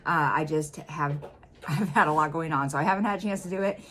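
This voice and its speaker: A woman's voice: low-pitched, a little fast, fairly bright tone. A woman from the United States hosting a reaction video from home, chatting casually with plenty of ums and uhs. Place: home room.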